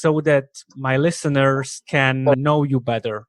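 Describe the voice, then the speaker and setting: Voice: mid-range voice, steady tone, moderate pace, fairly bright tone, no room sound, clean studio sound. A podcast host who asks a guest to introduce himself, friendly and encouraging. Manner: friendly, encouraging, laughs along. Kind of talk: conversational interview.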